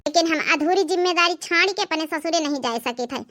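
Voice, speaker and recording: A woman's voice: extremely high pitch, fairly quick, bright, forceful tone, slight background noise. A woman with an Awadhi accent voicing a stubborn, devoted young aunt who argues firmly in a cartoon story. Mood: firm, defiant. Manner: firm, argumentative. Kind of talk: amateur cartoon story dialogue.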